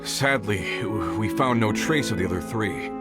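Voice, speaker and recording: A man's voice: lowish voice, animated, normal speed, natural voice. An actor playing an earnest, grateful male mining foreman in a game cutscene. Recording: loud game music under the voice.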